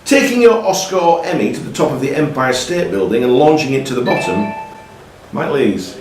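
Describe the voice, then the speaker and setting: A male quizmaster runs a live pub quiz, reading questions and judging answers. His medium-pitched voice echoes around the room, picked up from a distance, with music clearly behind him. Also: big pitch swings, brisk, a little mellow.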